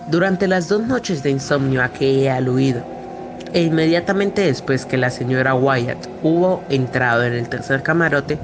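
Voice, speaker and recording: A young man reading a scripted literary story as narrator of an amateur radio drama, with music behind him. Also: fairly high pitch. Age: young adult.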